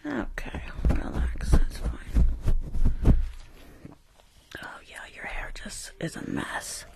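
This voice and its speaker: Whispering young New York woman, curt and sassy. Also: drawn-out words. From Bronx, New York. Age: young adult. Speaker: ASMR performer role-playing a rude school nurse. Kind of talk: whispered ASMR roleplay. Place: small room at home. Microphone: close desk microphone with fluffy cover.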